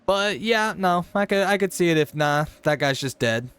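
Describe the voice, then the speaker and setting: Casting: male let's play commentator, slightly high crisp voice, casual, wry, irreverent. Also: lively intonation, normal speed, fairly bright tone. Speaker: game streamer.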